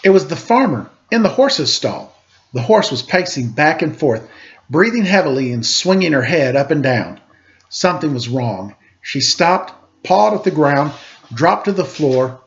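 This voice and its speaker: A man reading a children's story aloud with an expressive, playful delivery, as a storyteller at home. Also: a little high. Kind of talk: storybook read-aloud with casual asides. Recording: dull home recording with faint noise. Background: faint household background noise.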